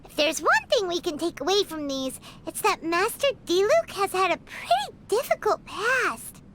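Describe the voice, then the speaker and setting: A woman voicing a tiny, cheerful, chatty childlike fairy companion in an animated video game, with a perky manner. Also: extremely high pitch, normal speed, noisy background, heavily acted. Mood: chirpy, explaining. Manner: speaks of self in third person, perky.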